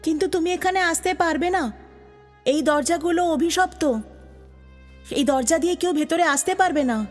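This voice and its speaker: A woman's voice: very high voice, normal speed. A woman voicing a timid, innocent captive maiden in a cartoon fairy tale, hesitant and anxious. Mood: anxious, resigned. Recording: long hall reverb, music underneath.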